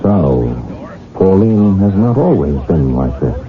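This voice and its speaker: A dull old radio broadcast with clear music behind carries an earnest, anxious husband. He speaks formally and dramatically, in a low voice that swings widely as he tells his mystery. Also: very animated, slow pace, full character voice. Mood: pressing, troubled. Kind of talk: 1970s radio drama acting and narration.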